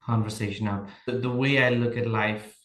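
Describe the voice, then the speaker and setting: A man's voice: mid-range voice, steady tone, normal speed, fairly bright tone. Man in an echoey room. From Nepal. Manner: reflective, tells workplace stories, often checks in with "right?". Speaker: podcast guest, HR leader. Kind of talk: casual conversation.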